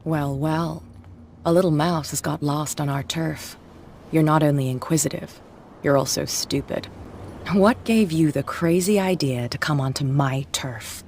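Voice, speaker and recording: A woman's voice: deep voice, a little slow, darkish tone. Bootlegger boss woman, territorial, taunting, commanding, game dialogue, light background noise. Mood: mocking. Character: menacing female bootlegger gang boss, territorial and mocking. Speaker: gang leader.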